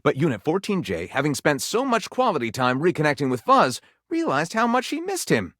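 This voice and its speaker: A theatrical male storyteller from a video game, spinning absurd pulp detective tales in total mock seriousness. His pitch swings widely from line to line for maximum melodrama. The recording is dry and close, with no room around the voice. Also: huge pitch swings, fairly quick, a bit bright, no echo, heavily acted. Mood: dramatic suspense. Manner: dramatic, mock-serious delivery with big swings. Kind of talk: theatrical scripted game narration.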